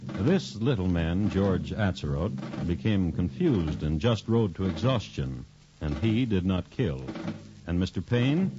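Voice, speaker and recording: A man narrating a 1950s radio crime drama in a measured, dramatic storytelling manner. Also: deep voice. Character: dry, deliberate male crime-story narrator. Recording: dull, noisy 1950s radio recording.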